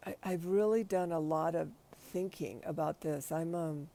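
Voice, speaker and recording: A middle-aged woman with a raspy voice, speaking hesitantly on her livestream with many restarts and pauses, sometimes short of breath. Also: low voice, warm tone. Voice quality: raspy after covid. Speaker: livestream host. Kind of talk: casual spoken reflection.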